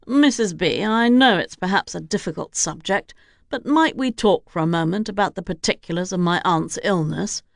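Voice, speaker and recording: A young nobleman speaks gently, heavy with grief, his voice set a little lower, as a woman narrator does him. The sound is dry and close. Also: lively intonation, normal speed, creaky, no room sound. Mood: grieving. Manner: gentle, sorrowful, sighing. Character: young male lord, grieving and earnest.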